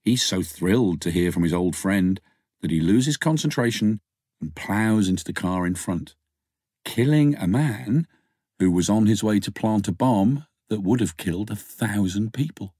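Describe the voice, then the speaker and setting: A man's voice: on the low side, lively intonation, moderate pace, warm tone, husky, dry recording, studio quality. A man voicing a thoughtful, teasing woman lover in an audiobook, in an earnest, musing manner.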